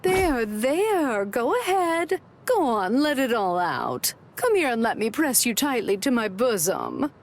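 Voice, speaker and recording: Playful caring big sister anime lady, confident n coaxing, highish voice that swoops around. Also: very animated, a little slow, a little hiss or hum. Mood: tender, reassuring. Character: confident, teasing, caring adult big-sister type woman. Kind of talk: anime game voice acting.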